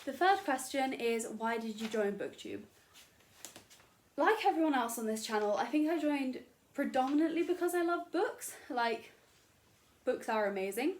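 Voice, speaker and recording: A woman's voice: high voice, expressive, normal speed. A young woman chatting casually to camera about books in her bedroom, rambling and self-deprecating with lots of fillers. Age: young adult. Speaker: booktuber. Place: bedroom at home.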